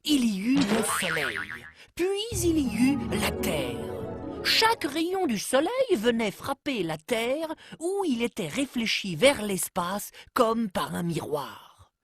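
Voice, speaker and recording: A woman narrates an educational cartoon like a storybook tale, in a measured, deliberately phrased storytelling delivery. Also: a little high, sing-song, over music.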